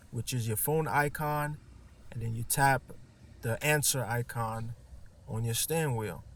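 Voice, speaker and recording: A man giving a casual, hands-on installation tutorial in an informal, slangy, playful way. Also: mid-range voice. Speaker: car audio installer demonstrating a product. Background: music from a car stereo.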